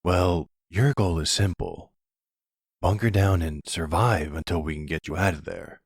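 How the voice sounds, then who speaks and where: Man playing a deep, husky, composed mafia boss. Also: no room sound, studio quality. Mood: assured. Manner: measured, reassuring, earnest. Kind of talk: ASMR audio roleplay drama.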